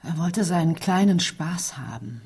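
An actress playing a determined, streetwise amateur investigator in a radio crime drama, resolute and matter-of-fact. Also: female voice, lowish voice, lively intonation, a little slow, darkish tone, breathy edge, heavily acted. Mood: dry, steady.

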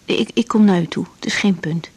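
A woman's voice: medium pitch, brisk, darkish tone, breathy edge, natural voice, poor audio. An actress playing a young woman doctor, composed, polite and slightly hesitant, in a naturalistic radio drama. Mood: polite, accommodating. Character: young female doctor, tactful, accommodating, composed.

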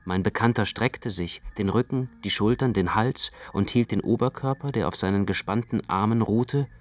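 Low-voiced male radio-play narrator. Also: normal speed, no room sound. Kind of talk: literary first-person radio play narration.

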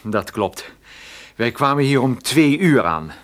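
A man's voice: medium pitch, big pitch swings, slow, fairly bright tone. An actor playing a polite, level-headed male detective in a radio play, courteous and questioning in his delivery. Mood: calm, probing.

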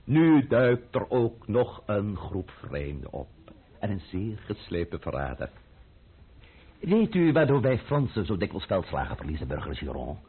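Sneering revolutionary official, wildly swinging pitch. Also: male voice, breathy edge, heavily acted. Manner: sneering, mock-polite, drawn-out, bursting into shouts. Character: sarcastic, menacing French revolutionary official berating a subordinate. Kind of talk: radio drama acting. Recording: muffled old radio play recording.

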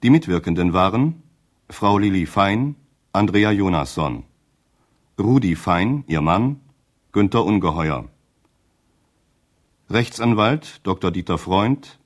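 A man reading credits as a radio announcer in a formal, deliberate style. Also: sits low, lively intonation.

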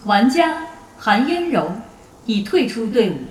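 An impassive online game system voice in a radio drama. It is rather high and echoey, heard from a distance. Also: female voice, big pitch swings, measured pace, background noise. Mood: impassive, formal.

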